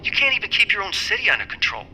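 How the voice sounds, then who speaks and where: A man's voice: high voice, very animated, racing, bright, forceful tone, a little hiss or hum, heavily acted, low-quality recording. A man playing an arrogant, scornful developer, domineering and angry through a phone filter in an audio drama. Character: furious, arrogant male developer bullying over the phone.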